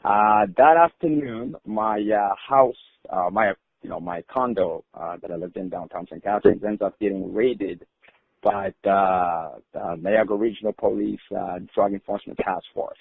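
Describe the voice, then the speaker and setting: A man's voice: fairly low pitch, normal speed, vocal fry, husky, no echo. A man from Rwanda, a podcast guest telling his life story with many hesitant fillers and restarts. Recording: tinny phone-like line.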